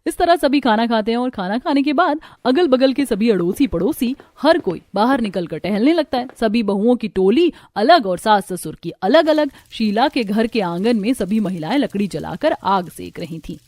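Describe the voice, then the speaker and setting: A woman's voice: on the high side, lively intonation, normal speed, few pauses, a little mellow. A woman reading scripted narration for a cartoon village story. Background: background music.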